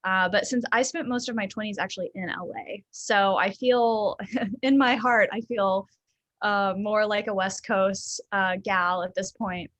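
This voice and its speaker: A young adult woman from the American South, a therapist talking casually and thoughtfully in an interview, with frequent ums and soft laughs. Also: medium pitch, fairly even intonation, unhurried, dry recording. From Southern United States.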